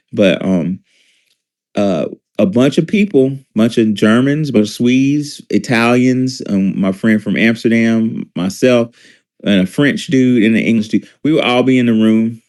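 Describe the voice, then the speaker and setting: A man's voice: fairly low pitch, a little mellow. A man from Alabama, hosting a casual commentary show with blunt, emphatic delivery and profane asides. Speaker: host.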